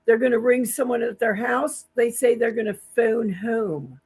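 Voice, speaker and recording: A woman co-hosting a casual livestream chat, talking in a chatty, animated way. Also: a little high, normal speed, breathy edge. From New Jersey.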